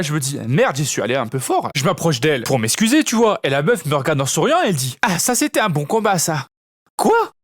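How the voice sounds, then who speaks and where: A man in his twenties telling a comic story in a vlog, slangy and animated, with shouted sound effects. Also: high voice, no echo, studio quality. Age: 20s. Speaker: vlogger.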